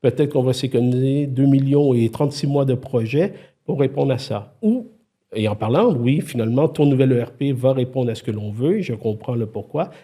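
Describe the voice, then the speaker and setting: Homme d'âge mûr, accent québécois, voix chaude et un peu voilée, invité qui raconte avec entrain. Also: male voice, medium pitch, fairly quick. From Quebec. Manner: lively, animated storyteller, frequent restarts. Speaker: podcast guest, IT executive. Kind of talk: casual conversation.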